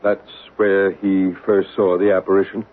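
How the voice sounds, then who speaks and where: An actor playing a measured, reassuring paranormal investigator who also narrates, in a 1970s radio mystery drama. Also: male voice, deep voice, monotone, natural voice. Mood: calm. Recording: narrow-band old radio broadcast with hiss.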